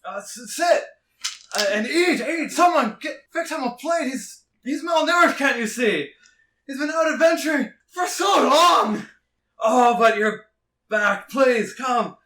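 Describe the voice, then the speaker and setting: High-pitched gushing, scruffy dad. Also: male voice, animated, measured pace, pushed and bright, heavily acted. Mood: excited fussing. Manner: gushing, fussing, repeats himself. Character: large scruffy doting father, overjoyed and fussing loudly. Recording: roomy, slightly distant mic.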